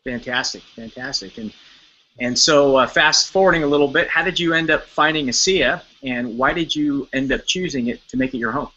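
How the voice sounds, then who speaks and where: Male host, bright tense voice, upbeat, muffled video call sound. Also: mid-range voice, moderate pace. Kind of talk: webcast hosting.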